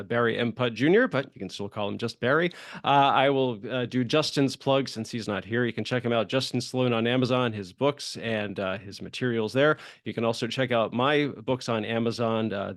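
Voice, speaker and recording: A man's voice: medium pitch, fairly quick, no echo, clean studio sound. A man hosting a podcast interview in a casual, conversational style, rambling a little and affirming as he goes. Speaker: podcast host and screenwriter.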